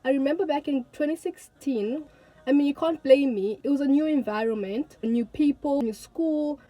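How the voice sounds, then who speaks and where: Young woman from tanzania chatting to camera, kinda high voice, earnest, says stuff twice for emphasis. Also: normal speed, mellow, rough recording. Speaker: vlogger. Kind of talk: casual talk to camera.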